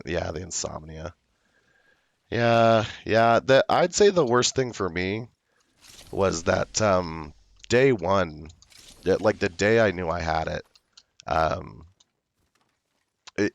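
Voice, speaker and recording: A young man streaming a game, with a hoarse voice from illness, chatting slowly and loosely. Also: sits low, lively intonation, no echo. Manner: slow, rambling, laid-back talk. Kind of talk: casual livestream chat.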